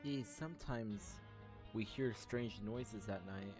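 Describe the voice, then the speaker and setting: A man with a low voice plays through a video game. He casually reads dialogue aloud and adds his own commentary. Game music is clearly audible behind him. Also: slow pace. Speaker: gamer playing and reading dialogue aloud.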